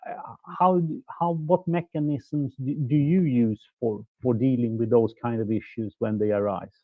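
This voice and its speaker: A man's voice: mid-range voice, moderate pace, no room sound. A man who grew up in Sweden, asking long, courteous questions in a conference panel discussion. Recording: dry tinny phone-like line.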